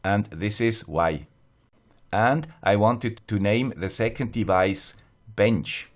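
A man with a Swiss accent narrating a scripted tutorial in a measured, plain-spoken, step-by-step way. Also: on the low side. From Switzerland. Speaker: host of an electronics tutorial channel.

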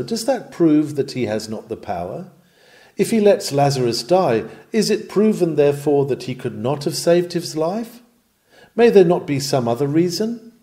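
A man's voice: a little high, mellow. A man reading a historic sermon aloud in an earnest, oratorical and rhetorical manner, with emphatic delivery.

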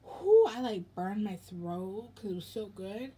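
A young American woman chatting casually for a haul vlog in a rambling, halting way, with a slightly raspy voice. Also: mid-range voice, sing-song, warm tone. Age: young adult. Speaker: vlogger. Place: indoors in a home room.

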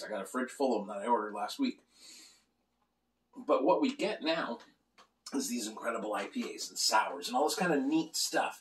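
A middle-aged man rambling and joking through a casual beer review, recorded indoors by a camera across the table. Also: medium pitch. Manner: animated, joking, gestures a lot while talking. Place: small room lined with beer shelves, indoors. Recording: roomy, slightly distant sound.

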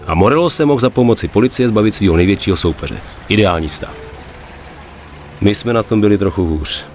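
A man's voice: sits low, a little hiss or hum, natural voice. An actor playing a weary, cynical young gangster in a game cutscene, talking matter-of-factly about his life of crime. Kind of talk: dramatic game cutscene dialogue and narration. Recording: music under the voice.

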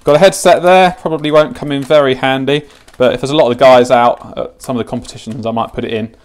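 Young british guy in his 20s, medium pitch, chatty matter of fact unboxing and how-to talk. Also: fairly even intonation, quick pace. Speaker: fishing channel host demonstrating gear. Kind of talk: casual product unboxing and tutorial. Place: home kitchen, indoors.

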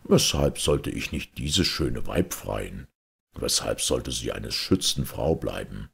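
A man voicing a covetous, commanding king in a fairy tale, gravelly and very deep, weighty and imperious. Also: lively intonation, slow pace, exaggerated voice.

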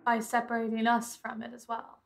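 A woman's voice: fairly high pitch, completely flat delivery, a little fast, creaky. A woman playing in a tabletop roleplaying podcast, mostly speaking in character. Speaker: player in an actual-play podcast.

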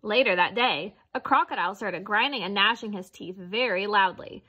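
A woman's voice: mid-range voice, moderate pace, slightly rough, dull audio. A woman reading a children's picture book aloud as narrator. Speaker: storybook reader.

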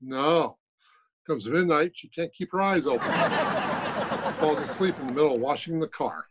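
A man's voice: a little high, moderate pace, very noisy, close to an everyday voice. An older man playing a blunt, matter-of-fact male colleague in an old-time radio comedy. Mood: deadpan grumbling. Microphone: phone on video call.

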